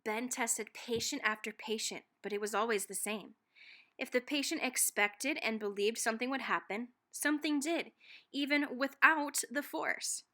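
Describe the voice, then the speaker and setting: A woman narrates a children's picture book in a fairly high, clearly bright voice. She reads expressively, leaning on key phrases with dramatic, deliberate emphasis. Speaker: read-aloud narrator.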